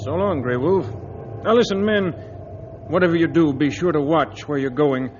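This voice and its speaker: Man as brisk navy commander, helpful, businesslike, moderately high voice, 1950s radio acting, noisy dull sound. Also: natural voice. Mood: confident, businesslike. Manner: businesslike, confident. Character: brisk, helpful male naval destroyer commander.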